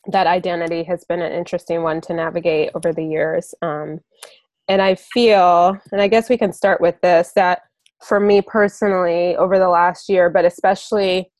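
A woman hosting a podcast, talking in a measured, reflective way. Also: on the low side, a little mellow, no room sound. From United States. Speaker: podcast host. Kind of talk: casual podcast chat.

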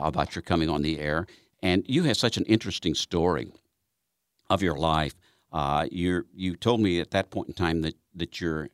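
Folksy radio host, very low voice, close dry studio mic. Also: male voice, dry recording. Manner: folksy, chatty with frequent fillers. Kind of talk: radio interview. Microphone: studio microphone.

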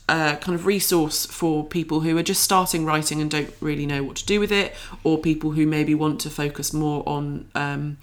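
A woman co-hosting a podcast, chatting in an informal, enthusiastic way. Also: low voice. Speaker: podcast co-host. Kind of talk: casual scripted-free podcast chat.

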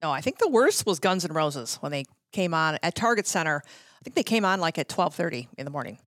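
A woman's voice: deep voice, sing-song, fairly quick, a bit bright, dry recording, clean studio sound. A middle-aged American woman chatting casually as a podcast guest, telling stories breezily and acting out quotes. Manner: breezy storyteller who acts out quotes. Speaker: podcast guest, former radio promotions worker. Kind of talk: casual anecdotal chat.

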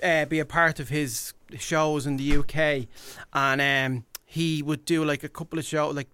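Bright, high-voiced Irish man chatting. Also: fairly even intonation, normal speed, a little hiss or hum. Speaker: guest, impressionist and event MC. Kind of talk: casual radio interview.

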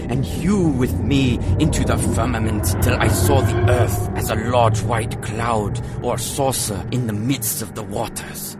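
A man narrating an audio drama in archaic English, with a theatrical, declamatory delivery. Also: medium pitch.

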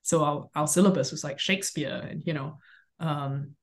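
A woman's voice: deep voice, moderate pace. Thoughtful woman author from Singapore. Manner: relaxed, thoughtful, light laughs, many ums. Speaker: author guest. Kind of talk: interview answers. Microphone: remote call mic.

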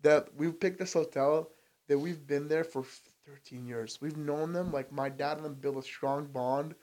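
A young man from Albania chats loosely as a podcast guest. His talk is relaxed and full of "like" and "bro". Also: on the high side, a little slow, rough recording. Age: young adult. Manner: loose and chatty, says "bro" and "like" a lot. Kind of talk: casual chat.

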